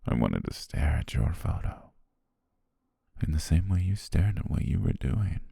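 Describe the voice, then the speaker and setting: A man playing an obsessive, menacing photographer in love, speaking slowly and intimately in an ASMR roleplay with a husky, breathy voice. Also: sing-song, no room sound, studio quality, full character voice. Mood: entranced, hushed. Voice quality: very deep, husky, creaky, breathy. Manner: slow, hushed, lingering pauses. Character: obsessive, menacing yandere male photographer stalking his beloved.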